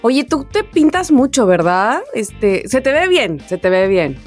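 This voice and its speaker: A woman with a Mexican accent and a somewhat high voice chats as a podcast co-host. Her talk is colloquial and opinionated, over faint background music. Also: sing-song, unhurried. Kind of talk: casual chat.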